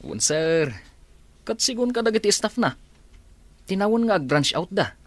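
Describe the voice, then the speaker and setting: An actor with an Ilocano accent playing a blundering, cheeky village driver who blurts and exclaims in a comedy radio drama. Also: male voice, high voice, moderate pace, no room sound. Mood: deferential, gossiping. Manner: animated, deferential yet blurting, exclaiming.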